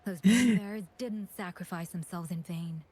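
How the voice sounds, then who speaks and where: An actress playing a composed, courteous chief administrator in a video game. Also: female voice, on the low side, moderate pace, a little mellow, slightly rough. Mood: composed, analytical.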